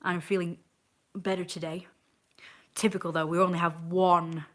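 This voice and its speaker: A woman's voice: lowish voice, little pitch movement, normal speed, a bit bright. A woman in her twenties chatting casually on a live stream, rambling and answering viewers' comments as they come in. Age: 20s.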